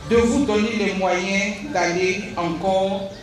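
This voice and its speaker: A woman's voice: medium pitch, steady tone, slow. A woman giving a formal speech as a school principal. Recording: very echoey, distant, music behind.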